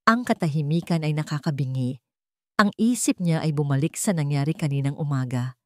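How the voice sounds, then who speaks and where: A woman's voice: deep voice, fairly quick, mellow, no echo, clean studio sound. A Filipino woman giving a dramatic scripted narration of a romance story as its narrator.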